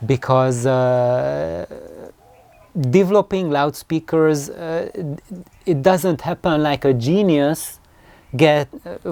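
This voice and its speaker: A man giving an informal explanatory talk in a hesitant, halting way, often repeating words as he goes. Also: medium pitch, a little mellow, no echo. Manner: acts out imagined dialogue. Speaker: loudspeaker designer.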